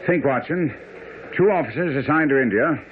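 An actor playing a brisk, confident, keen detective who lectures incisively in a radio drama. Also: male voice, a little high, expressive, lightly acted. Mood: assured. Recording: phone-like, faintly noisy old radio broadcast.

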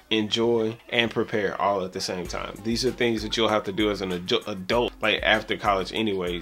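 A man's voice: lowish voice, a bit bright. A man in his thirties giving casual, emphatic advice to the camera in a vlog, repeating words for stress. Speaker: vlogger. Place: home office with bookshelves and green light. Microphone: camera mic at arm's length.

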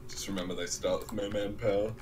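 A man's voice: lowish voice, steady tone, fast talker, a little mellow, faint music behind. An Australian man joking around casually with friends over voice chat during a strategy game. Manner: joking, laid-back. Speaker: player in a multiplayer strategy game. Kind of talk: casual voice chat while gaming.

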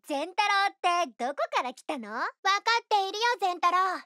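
A very high-pitched, bright child magician for a video game. The little performer is cute and cheeky, presenting everything with theatrical, boastful flourish. The recording is close and dry. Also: female voice, expressive, a little fast, no echo, full character voice. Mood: playful, teasing. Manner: theatrical, playful, boastful showman patter. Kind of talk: Japanese video game character voice lines.

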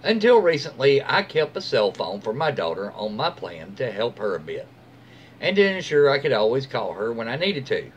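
Middle-aged man reading aloud, lively pitch. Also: normal speed, lo-fi sound, slight background noise. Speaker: story-reading host. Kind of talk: reading forum stories aloud with casual asides. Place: small room at home, seated in an armchair.

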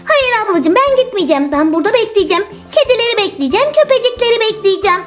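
A woman's voice: extremely high pitch, animated, fast, a bit bright, soft background music. A woman voicing an eager, whiny little girl who pleads and complains in a children's toy story. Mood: whiny, impatient.

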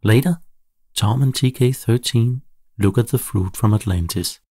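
A man narrating a children's bedtime story in a measured, animated storytelling delivery. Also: mid-range voice, no room sound, clean studio sound.

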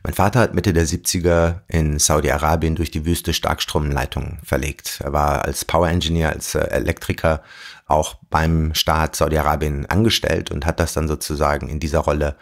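A man in his forties from Germany, telling his life story as an interview guest in a thoughtful way, with pauses and fillers. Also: extremely low pitch, level tone, vocal fry. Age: 40s. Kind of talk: reflective storytelling.

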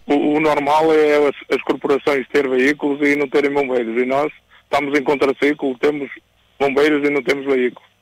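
A man with a European Portuguese accent giving a statement to radio as a fire commander, halting and repeating words. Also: a little high, level tone, dry recording, rough recording. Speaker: fire brigade commander being interviewed. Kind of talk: spoken statement to a radio reporter.

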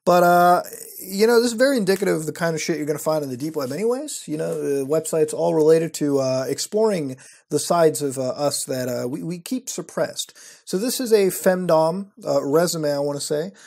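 A man's voice: fairly high pitch, brisk, creaky, a little mellow. A young man hosting his show from a small room at night, reacting to websites with wry, profane commentary. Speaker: host. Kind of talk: casual commentary reading websites.

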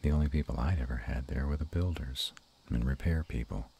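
Guy voicing a gruff tender dragon boyfriend for romance roleplay, super low n husky, slow intimate murmur. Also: warm tone, exaggerated voice. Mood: thoughtful. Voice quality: very deep, gravelly, husky voice. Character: gruff but tender male were-dragon lover.

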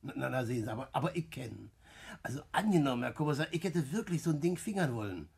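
An actor playing a wily, talkative small-time crook, chummy and evasive, in a 1960s German radio play. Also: male voice, mid-range voice, expressive, vocal fry, husky, full character voice. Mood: cheekily innocent. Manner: chummy, evasive, halting repetitions. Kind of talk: German radio play acting around 1960.

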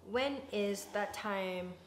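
A woman's voice: mid-range voice, takes it slowly, slight background noise. A woman in her twenties from Ghana chatting casually to her viewers as a vlogger, in an affectionate, talkative manner. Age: 20s. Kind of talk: casual chat to camera. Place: rooms at home, indoors. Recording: echoey rooms at home, music bed.

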